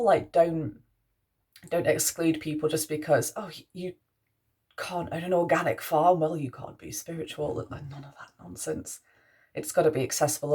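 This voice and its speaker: A young woman with a Mancunian accent, a priestess talking softly through her spiritual values with frequent restarts. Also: sits low, slightly rough. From Manchester, England. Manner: musing, soft-spoken, with frequent restarts. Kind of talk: spoken reflection on spiritual values.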